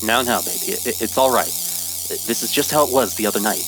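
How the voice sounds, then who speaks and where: An actor playing a frightened, protective husband and father in an old-time radio drama, urgent and halting. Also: mid-range voice, over music, close to an everyday voice, rough recording. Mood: tense, reassuring.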